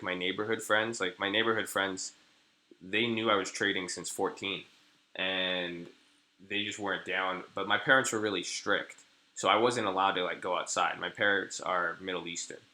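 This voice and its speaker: A man's voice: sits low, sharp tone. A young man from California chatting casually on a live trading stream, rambling, cursing and restarting his sentences. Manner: rambling, crude slang, frequent restarts. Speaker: day trader streaming live. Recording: echoey room.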